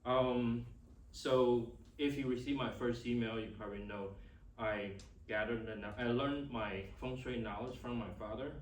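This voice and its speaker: A middle-aged man with a Taiwanese accent and Chinese as his first language, giving an informal, halting talk as a class instructor. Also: medium pitch, fairly even intonation. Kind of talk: informal teaching and personal introduction. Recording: echoey room, distant mic, faint noise.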